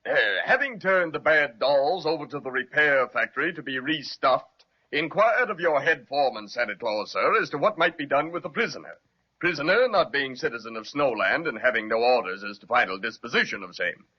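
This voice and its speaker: An actor playing a stiff, formal tin soldier captain in a radio serial, reporting in clipped, halting, deferential military style. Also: male voice, mid-range voice, lively intonation, breathy edge. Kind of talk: 1930s radio serial acting. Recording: dull old radio recording.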